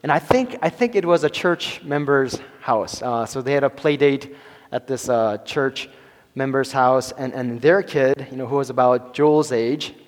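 A man in his forties preaching a sermon to his church, halting with many fillers and restarts and chuckling between phrases. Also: medium pitch. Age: 40s. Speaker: pastor. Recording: long hall reverb.

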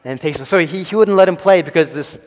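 A man in his forties preaching a sermon to his church, halting with many fillers and restarts and chuckling between phrases. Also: on the high side. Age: 40s. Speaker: pastor. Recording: long hall reverb.

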